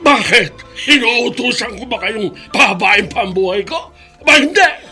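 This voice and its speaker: A shrill, querulous old Filipino father. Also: animated, moderate pace, bright, forceful tone, faint music behind, exaggerated voice. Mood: bitter, despairing. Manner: querulous, stubborn, complaining. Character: bitter, stubborn sickly old father. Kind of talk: Filipino radio drama acting.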